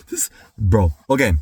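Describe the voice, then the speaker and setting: A man doing excited reaction commentary, clearing his throat as he recovers from being sick and saying dude and bro a lot. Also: medium pitch, dry recording, studio quality. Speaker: music reaction YouTuber.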